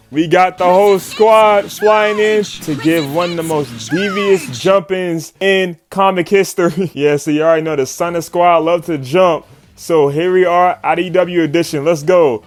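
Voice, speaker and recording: A man giving a casual, excited comic recap, full of slang, swearing and chuckles. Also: medium pitch. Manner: slangy, swearing, often chuckling hype talk. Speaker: YouTube comic commentator. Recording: background music under voice. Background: music.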